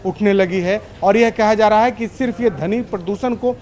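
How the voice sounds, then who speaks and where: A male correspondent gives an unscripted live news report. His voice sits quite high for a man, and he explains in long, run-on sentences, restating his points. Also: moderate pace, darkish tone.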